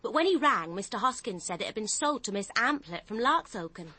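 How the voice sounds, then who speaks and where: An actress playing a nervous, gullible English office clerk with a flustered, colloquial manner in a radio drama. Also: female voice, on the high side, lively intonation, brisk, bright, forceful tone, husky, no echo, full character voice. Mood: chatty.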